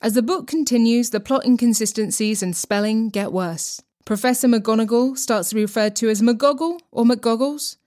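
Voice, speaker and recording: A woman's voice: on the high side, normal speed, hardly any pauses, darkish tone. A woman presenting a podcast with scripted, tongue-in-cheek narration.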